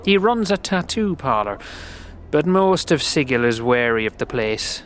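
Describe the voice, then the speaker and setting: A man voicing a friendly, gentle, earnest slum dweller in a fantasy audio drama, with a folksy, hesitant way of talking. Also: mid-range voice, big pitch swings, unhurried, soft background music. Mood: helpful.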